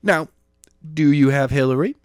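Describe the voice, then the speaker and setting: A man's voice: on the high side, moderate pace, clear, resonant tone, no echo, clean studio sound, natural voice. A man reading a novel aloud, voicing a defiant fifteen-year-old boy who makes demands. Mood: commanding.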